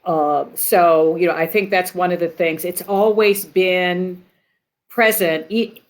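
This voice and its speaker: A woman's voice: on the low side, steady tone, slow. A woman panelist giving conversational commentary in a discussion.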